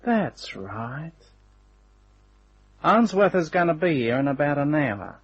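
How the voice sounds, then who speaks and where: A husky smug hired thug from a radio crime play. He takes his time over every slangy threat, menacing and taunting. The recording is dull and narrow, like old radio. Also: on the high side, sing-song, slow pace, exaggerated voice. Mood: cold menace.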